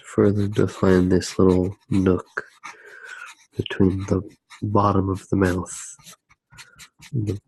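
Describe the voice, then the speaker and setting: A man softly narrating his drawing for ASMR, hushed, unhurried and musing as he works. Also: low-pitched, level tone, vocal fry, slightly rough, no echo, low-quality recording.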